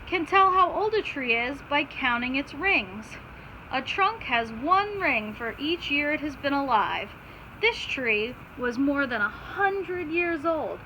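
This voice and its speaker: A woman's voice: high voice, animated, unhurried, bright, forceful tone, rough recording. A woman teacher reading aloud to children, stopping to explain simply and put questions to her listeners. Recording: clear background noise.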